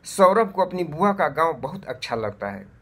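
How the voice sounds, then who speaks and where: A man reading a scripted moral story for children in a measured, deliberate way. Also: fairly high pitch, big pitch swings, sharp tone, slightly rough.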